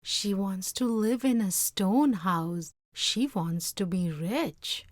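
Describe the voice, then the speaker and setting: A woman's voice: mid-range voice, slow pace, dry recording, clean studio sound, lightly acted. A woman voicing a humble, henpecked fisherman in a storybook, earnest and plain-spoken. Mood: reluctant, pleading. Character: humble, simple, henpecked fisherman, adult man. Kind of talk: read-aloud storybook character voice.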